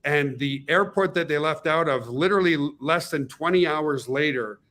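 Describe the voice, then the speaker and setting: Fairly high-voiced rambling male host. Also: normal speed, a bit bright. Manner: rambling, restarts, upbeat. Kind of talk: casual webinar hosting. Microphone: video call.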